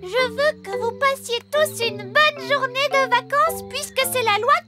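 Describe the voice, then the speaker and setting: A woman's voice: very high-pitched, a little slow. A woman playing a cheerful, self-assured young fairy princess in a French cartoon dub, with a sweet childlike manner. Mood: confident. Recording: children's music loud behind the voice.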